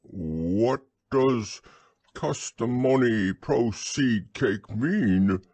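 A man voicing a humble, earnest, slow-witted bear in a storybook, with a plodding manner. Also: sits low, sing-song, a little mellow, breathy edge, no echo, exaggerated voice. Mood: humble, puzzled. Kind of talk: storybook character reading.

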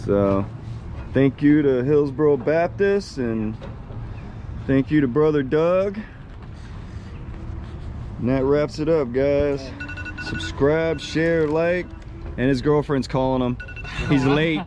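A man's voice: a little high, slow, pauses often. A man hosting a casual fishing vlog outdoors on a boat, talking in an easygoing, chatty way and laughing often. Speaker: fishing guide and channel host. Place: outdoors on a boat and dock on open water. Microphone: action camera. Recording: loud wind and boat noise.

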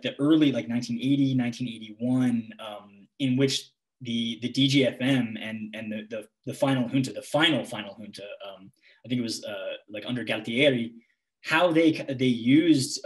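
A man's voice: medium pitch, moderate pace, poor audio. A young man giving an academic talk and answering questions over a video call, hesitant and full of "like" and "kind of". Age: young adult. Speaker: guest lecturer. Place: home room with guitars on the wall. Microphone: laptop webcam mic on video call.

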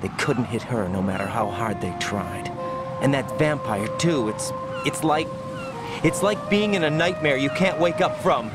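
An actor playing an anxious, earnest young special agent in a video game, intense and questioning. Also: male voice, mid-range voice, normal speed, loud music behind the voice, lightly acted. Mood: troubled, earnest. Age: young adult. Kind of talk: video game cutscene acting.

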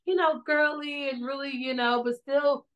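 A woman's voice: sits high, normal speed, lo-fi sound. A woman, chatting casually as a songwriter guest over a video call and gesturing as she talks. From South Side of Chicago. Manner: animated, gestures a lot while talking. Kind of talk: casual interview chat. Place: small indoor room.

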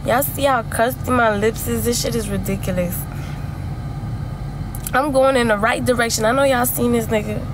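A young woman livestreaming from a car on a phone, chatting bluntly and confessionally with heavy slang and swearing, her voice tired from yelling. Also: on the high side, steady tone, normal speed. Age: young adult. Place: inside a car. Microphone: phone held close at arm's length. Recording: noisy phone audio with vehicle ambience.

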